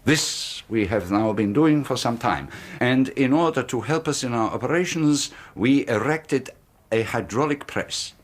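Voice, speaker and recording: An actor playing a sinister, secretive middle-aged colonel, talking smoothly and insistently in a radio drama. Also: male voice, mid-range voice, expressive, measured pace. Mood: persuasive. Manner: smooth, insistent, quietly threatening. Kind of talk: 1980s radio drama acting.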